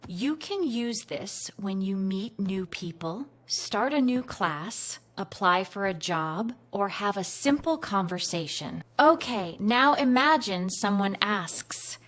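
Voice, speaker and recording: A woman teaching a beginner English class, speaking clearly, patiently and encouragingly in a scripted lesson. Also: medium pitch, expressive, takes it slowly, few pauses, fairly bright tone.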